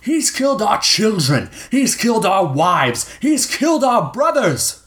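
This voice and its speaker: A man's voice: high voice, big pitch swings, measured pace, fairly bright tone, pitched above the performer's own voice, heavily acted. A man doing a vengeful militia leader. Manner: rousing, declaiming.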